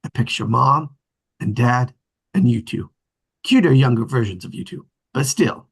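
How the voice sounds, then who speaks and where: A husky, earnest family man. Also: medium pitch, lively intonation, moderate pace. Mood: sentimental. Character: earnest, sentimental grown brother, husband and father. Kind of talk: naturalistic drama table read.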